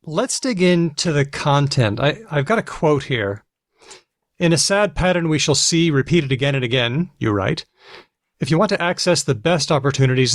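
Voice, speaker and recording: An American man with noticeable vocal fry hosts a podcast conversation. His pitch sits in the middle range and the sound is dry and close, with little room on it. He talks reflectively, like someone sharing anecdotes. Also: no echo. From United States. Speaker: podcast host and linguist.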